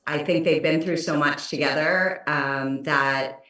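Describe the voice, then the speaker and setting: A woman's voice: low-pitched, fairly even intonation, a little slow, sharp tone, heavy reverb, far from the mic. A woman actor chatting on a video call, answering thoughtfully with many ums and you knows. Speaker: actor guest. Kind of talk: casual video call chat.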